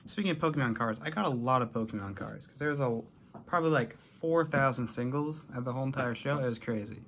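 Young American male vlogger, mid-pitched, casual and rambling, bedroom recording with audible noise. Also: quick pace. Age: young adult. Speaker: vlogger showing a collection haul. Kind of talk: casual chat to camera. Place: small bedroom, indoors.

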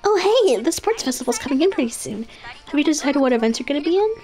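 A woman performs a bubbly, excitable schoolgirl from an anime game story. The voice sits high and chirpy, bouncy and full of exclamation, over soft background music. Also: quick pace. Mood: bubbly, eager.

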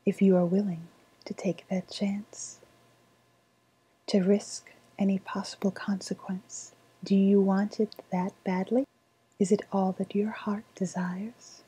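A woman playing a sly woodland witch in an ASMR roleplay, speaking in a soft, breathy whisper with a deliberate, coaxing manner. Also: mid-range voice, little pitch movement, low-quality recording. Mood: knowing, coaxing. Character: sly woodland witch, dealing in dark love magic.

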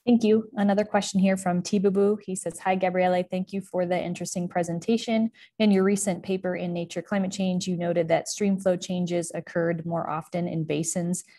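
A woman moderating an online talk, reading audience questions aloud in a steady manner over a video call. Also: on the low side, a little fast, hardly any pauses. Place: indoors, office room.

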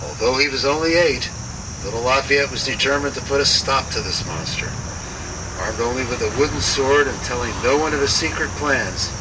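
A man narrating a puppet show tale in a scripted storybook style. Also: medium pitch. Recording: distant mic, noisy outdoors with insects.

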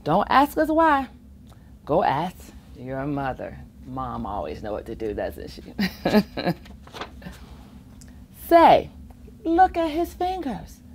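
A middle-aged woman reading a rhyming picture book aloud to children in an expressive, playful way, with asides of her own. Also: sits low, takes it slowly, pauses often, a little hiss or hum. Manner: expressive, chuckling asides, acts out the rhymes. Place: library children's reading corner, indoors.

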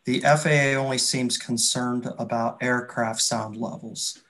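A middle-aged man moderates an online webinar with little melody in his voice. His pitch is mid-range and he reads questions out in a measured, slightly hesitant way. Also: fairly even intonation, fairly bright tone. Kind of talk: reading out audience questions in a webinar. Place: indoors on a video call. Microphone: computer video-call microphone.

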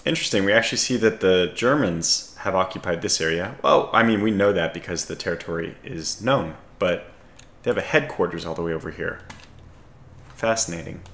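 A man with a scratchy voice giving casual strategy game commentary, calmly thinking aloud as he analyses his options. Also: deep voice, fairly quick, reverberant. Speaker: gaming video host.

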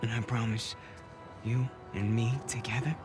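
An earnest youth with big dreams , played by a man in a video game drama. He speaks in a medium-pitched, coaxing older-brother voice. A heavy musical score swells loudly around him. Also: little pitch movement, moderate pace, loud music behind the voice, close to an everyday voice. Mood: hopeful, persuasive. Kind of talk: dramatic cinematic game acting.

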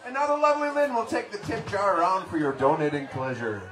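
A middle-aged frontman chats to the crowd in a loose, storytelling way, his voice sitting fairly high. He is heard through a stage mic from across the room, with the echo of a small bar. Band gear and a crowd murmur fill the background. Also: very animated, fast, a bit bright, faint music behind. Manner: chatty storytelling, chuckling asides. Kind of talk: stage banter between songs. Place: small tavern stage, indoors. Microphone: stage vocal mic, picked up by a camera in the room. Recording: echoey bar, distant, band and crowd noise.

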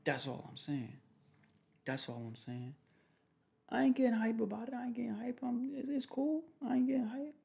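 A young man playing a protective, jealous boyfriend in an ASMR roleplay, talking in soft, slangy, repetitive, teasing phrases. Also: very high-pitched, animated, moderate pace, creaky, voice pushed up, heavily acted. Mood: defensive. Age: young adult. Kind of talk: ASMR boyfriend roleplay, improvised casual chat. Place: small room at home, indoors.

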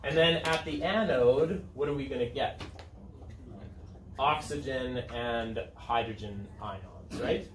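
A man's voice: mid-range voice, slow. Roomy, distant male classroom teacher. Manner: informal, conversational, checks in with students. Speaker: high school chemistry teacher. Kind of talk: classroom lesson, asking students questions. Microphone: camera set back in the room. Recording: roomy, distant camera mic, room noise.

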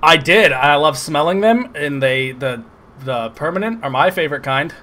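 A man giving casual, excitable, sarcastic commentary over a video game playthrough, swearing often. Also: a little high, moderate pace. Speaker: gameplay commentator. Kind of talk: casual let's play commentary.

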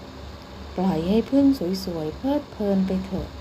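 A woman voicing a kind-hearted, protective little dwarf, the youngest brother, pleading gently in a children's storybook. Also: mid-range voice, animated, measured pace, background noise, natural voice.

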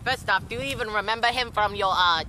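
A man's voice: high-pitched, quick pace, sharp tone. A teenage boy playing a foul-mouthed, boastful anime-fan villain in a mock foreign accent, in a homemade outdoor skit. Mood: taunting. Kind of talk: homemade amateur video skit. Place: outdoors on a suburban lawn. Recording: noisy outdoor camera audio.

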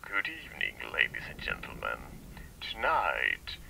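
A man voicing a formal, theatrical old-time radio announcer for a midnight horror show, in a hushed tone. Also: extremely low pitch, huge pitch swings, a little slow, vocal fry, distant mic, over a noisy crowd, exaggerated voice, low-quality recording. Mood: ominous, theatrical.

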